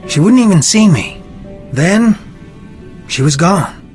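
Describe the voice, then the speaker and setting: A man's voice: sits high, lively intonation, takes it slowly, soft background music. An actor playing a conflicted, defensive young man in a video game, earnest and guarded. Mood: defensive, torn. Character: conflicted, defensive young fiancé of a missing woman.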